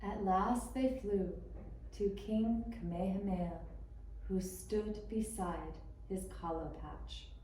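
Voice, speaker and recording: A woman narrating a scripted children's puppet-show tale in a measured, deliberate way with pauses. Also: medium pitch, mellow, slight background noise. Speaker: storyteller. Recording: roomy, echoing room sound.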